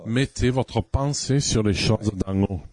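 A man's voice: on the low side, measured pace, darkish tone. A middle-aged man from New York State preaching a sermon as pastor, earnest and repeating phrases for emphasis.

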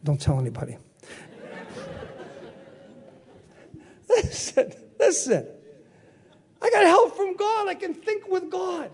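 An older male preacher with a very high voice delivers a sermon. He repeats key phrases for emphasis and throws out questions for the congregation to answer back. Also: wildly swooping pitch, slow, long pauses, a faint crowd. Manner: call-and-response, emphatic repetition.

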